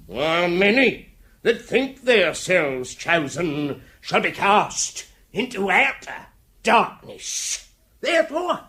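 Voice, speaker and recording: Radio drama role: pious reformed London safe-breaker, Cockney, high-pitched, slow and fervently preachy. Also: male voice, fairly bright tone, heavily acted. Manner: preacherly fervour, folksy ungrammatical speech. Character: reformed Cockney burglar turned pious, hymn-singing locksmith.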